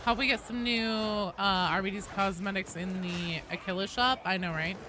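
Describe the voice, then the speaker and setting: A woman's voice: mid-range voice, steady tone, moderate pace, background noise. A woman game streamer chatting casually while playing, joking and swearing in loose slang.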